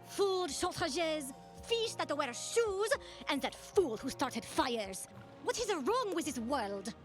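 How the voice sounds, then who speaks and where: A woman's voice: sits high, sing-song, takes it slowly, faint music behind, exaggerated voice. A woman playing a weary, complaining French-accented resident of a fantasy world in a video game. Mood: exasperated.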